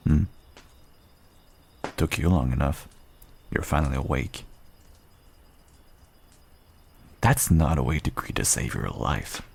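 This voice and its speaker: A man playing a guarded but kind half-wolf rogue in an intimate fantasy audio roleplay, unhurried and dryly understated. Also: expressive, a little hiss or hum, full character voice. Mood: dry, scolding. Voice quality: low, gravelly, husky. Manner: unhurried, dry, understated, self-deprecating.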